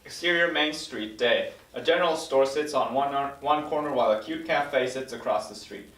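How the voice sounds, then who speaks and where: A man's voice: medium pitch, moderate pace, a bit bright. A man reading stage directions as scripted narration at a table read of a screenplay. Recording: roomy echo, distant microphone.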